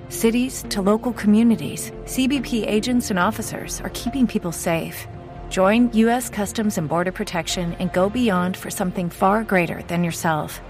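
A woman narrating a scripted recruitment advertisement. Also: medium pitch.

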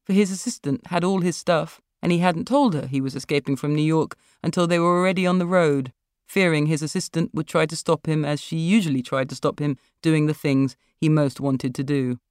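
Woman, lowish voice, author reading, literary narration, dry recording. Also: brisk, darkish tone, clean tone, no room sound. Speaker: author reading own fiction.

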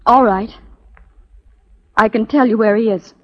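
A woman's voice: on the high side, steady tone, unhurried, close to an everyday voice. A woman playing an earnest, torn young spy in a 1940s radio drama, in an intense, melodramatic manner. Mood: guarded, earnest. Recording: muffled 1940s radio recording.

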